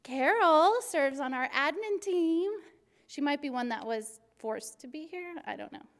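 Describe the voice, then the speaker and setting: Middle-aged city recreation chief, a woman from Arizona with a very high, bright voice, passionate and jokey, in a big reverberant hall. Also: unhurried. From Arizona, USA. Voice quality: very high-pitched voice. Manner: energetic, passionate and joking. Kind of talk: civic presentation to a class.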